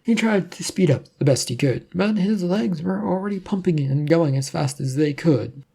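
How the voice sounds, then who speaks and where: Fiction narrator, middle pitch, mellow and husky, reading a scripted audiobook story. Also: expressive, normal speed.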